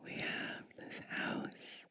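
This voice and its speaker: A woman whispering gently and breathily close to the microphone, reading a storybook aloud with chatty asides in an ASMR style. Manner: slow, gentle, hushed delivery with chatty asides.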